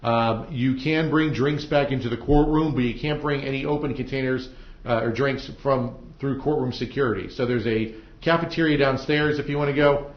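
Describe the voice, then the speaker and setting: A man's voice: mid-range voice, moderate pace. A man speaking as a judge, giving informal, plain-spoken instructions in a courtroom, explaining things step by step. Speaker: judge presiding over a trial. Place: indoor courtroom. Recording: roomy, dull courtroom audio.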